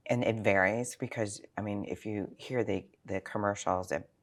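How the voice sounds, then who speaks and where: A woman's voice: extremely low pitch, fairly even intonation, moderate pace. A sixty-year-old woman speaking as a financial planner in an interview, explaining things informally with examples and rhetorical questions. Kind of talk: conversational interview answers.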